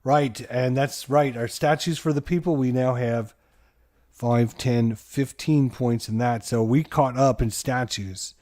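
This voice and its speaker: A man hosting a solo board game playthrough, giving excited play-by-play to his viewers like a sports announcer. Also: medium pitch, unhurried. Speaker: board game channel host.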